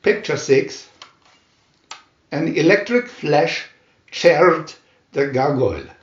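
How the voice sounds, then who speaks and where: A man reading a scripted adventure story aloud in a dramatic, deliberate narrator's manner. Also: fairly high pitch, expressive, echoey room, lo-fi sound. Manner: dramatic, deliberate comic-adventure reading with announced picture numbers. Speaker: narrator and reader.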